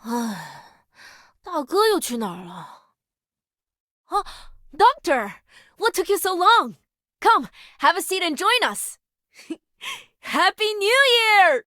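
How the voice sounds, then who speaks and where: A cheerful young game character with a very high, bright voice. The delivery is bubbly and swings all over, like a busy youngster hosting family. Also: female voice, takes it slowly, pauses often, no room sound, clean studio sound, full character voice. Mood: bustling, cheerful. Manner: bubbly, animated. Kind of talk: scripted game character greeting.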